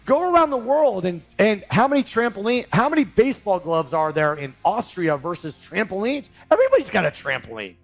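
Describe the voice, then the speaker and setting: A man's voice: high voice, very animated, fairly quick, few pauses. A man hosting an interview, emphatic and rambling, with lots of energy. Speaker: show host.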